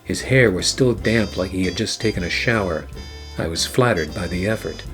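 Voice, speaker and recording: A man playing a wry, hard-boiled private eye and war veteran, narrating a noir radio play in a terse, deadpan way. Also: low voice, moderate pace. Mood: brooding. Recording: music behind the voice. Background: music.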